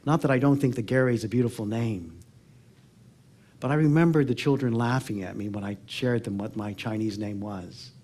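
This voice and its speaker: Reflective older American man telling stories on stage. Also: medium pitch. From Oakland, California, United States. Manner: reflective, earnest, with pauses and soft phrase endings. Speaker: public speaker. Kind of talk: personal storytelling talk on stage. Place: theatre stage before a seated audience.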